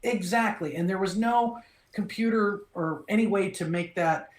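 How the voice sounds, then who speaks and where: A middle-aged male voice actor, originally from Minnesota, telling his career story in an animated, relaxed way, often restarting phrases and acting out quoted lines. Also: high-pitched, normal speed. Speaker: voice actor, interview guest. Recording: echoey room.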